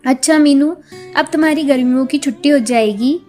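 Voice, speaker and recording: Patient mother of a poor family, acted by a woman: high, gentle, resigned, storybook drama over music. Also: a little fast, natural voice. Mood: resigned, tender. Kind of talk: acted children's moral story. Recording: music behind the voice.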